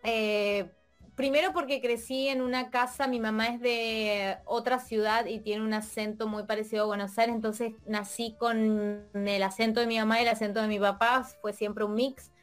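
A Spanish teacher from Argentina, chatting casually in an interview and thinking aloud with many fillers and restarts. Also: female voice, a little high, flat delivery, normal speed, soft background music. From Argentina, Mendoza. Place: lives in Europe.

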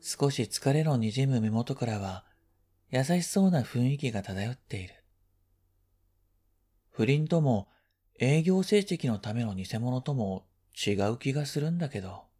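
A man reading a first-person literary story as scripted narration, in a quiet, reflective, measured manner. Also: medium pitch, animated, slightly rough. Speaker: story reader.

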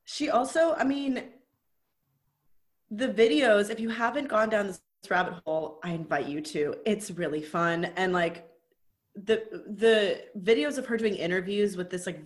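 Co-host, woman, lower voice, chatty and animated. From from an Indian family. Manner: chatty, laughing, animated storytelling. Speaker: podcast co-host. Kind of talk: casual chat.

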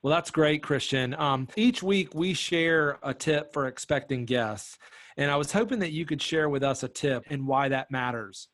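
A man's voice: fairly high pitch, normal speed, a bit bright, no room sound. A man hosting a church volunteer podcast, upbeat and encouraging as he talks with a guest.

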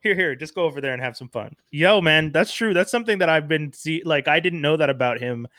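A man with a slightly high voice hosts the talk, speaking in a chatty, halting way that restarts sentences and keeps agreeing. The sound is dry and close. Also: lively intonation, a bit bright, no room sound. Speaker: podcast host, interviewer. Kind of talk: casual conversational interview.

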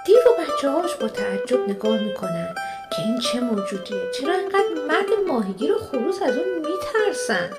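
A woman narrating a children's radio play in an expressive storybook style. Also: sits high, loud music behind the voice. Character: storyteller of a children's fantasy tale.

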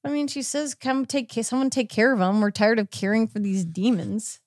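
A woman hosting a podcast in casual chat, recounting a case informally with a halting, filler-heavy delivery. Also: fairly high pitch, fairly even intonation, darkish tone, no room sound, clean studio sound. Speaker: podcast host.

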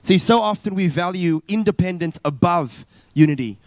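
A man's voice: sits high, lively intonation, moderate pace, darkish tone, no room sound. A man in his thirties, a youth pastor preaching a sermon from a church stage, earnest, with frequent uh's and restarts. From South Africa. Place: church stage, live service.

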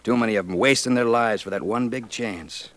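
A man's voice: mid-range voice, fairly quick, a bit bright, lightly acted. A man playing an affable small-town newspaper editor in a 1950s Western radio drama, with an American accent and folksy, easygoing speech. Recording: vintage 1950s radio recording.

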